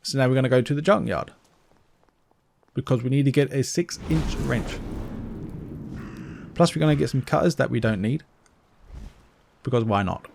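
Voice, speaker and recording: A man's voice: mid-range voice, moderate pace, creaky, long pauses, a little mellow. Man doing casual game walkthrough, noisy background. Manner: explains step by step, informal. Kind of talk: casual gameplay commentary.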